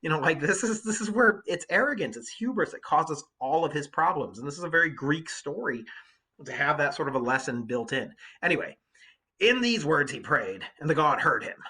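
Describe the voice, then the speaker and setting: A man's voice: a little high, big pitch swings, moderate pace, bright, forceful tone, slightly rough. A man reading literature aloud as a teacher, breaking off to explain it in an emphatic, colloquial way. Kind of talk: literature read aloud with informal commentary.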